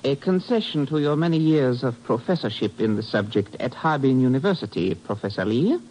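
An actor playing a formal, menacing North Korean army lieutenant in a 1950s radio drama, with clipped, officious politeness. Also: male voice, medium pitch, animated, fairly quick, darkish tone, a little hiss or hum, lightly acted. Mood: coldly officious. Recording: dull vintage radio broadcast recording.

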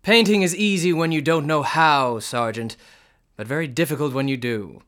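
An actor playing an aloof, dreamy young bohemian painter in a radio drama, speaking in lofty, precise, philosophical phrases. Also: male voice, fairly high pitch, moderate pace, fairly bright tone, close to an everyday voice. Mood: musing.

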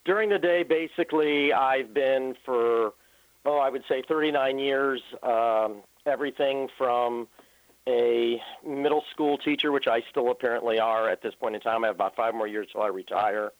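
A man's voice: mid-range voice, steady tone, moderate pace, no room sound. A man in his fifties, a guest on a radio interview, answering earnestly and at length with many ums. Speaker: paranormal researcher guest. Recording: tinny phone line, dry.

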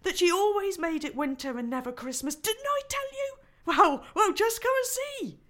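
A woman voicing an excitable male beaver who shouts with delight in a high, squeaky voice, reading a storybook aloud. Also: normal speed. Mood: excited shouting. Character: excitable male talking beaver, small and overjoyed.

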